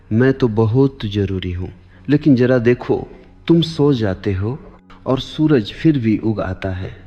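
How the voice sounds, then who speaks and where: Slow-paced man in long reverb. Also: medium pitch, dark tone. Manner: deliberate, short measured phrases with repetition. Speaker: spiritual teacher. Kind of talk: slow meditative discourse for sleep. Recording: long hall reverb, dull lo-fi sound. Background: clear background music.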